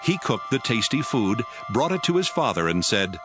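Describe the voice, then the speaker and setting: A man narrating a dramatized scripture reading in a measured, even storytelling way. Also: medium pitch. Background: background music.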